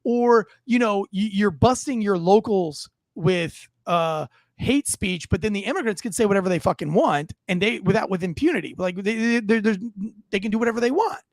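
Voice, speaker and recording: A man's voice: high-pitched, animated, moderate pace, no room sound, studio quality. A man on a podcast, ranting casually with lots of swearing and restarts. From United States. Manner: rambling, swearing, many restarts. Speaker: podcast co-host. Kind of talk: casual profane rant.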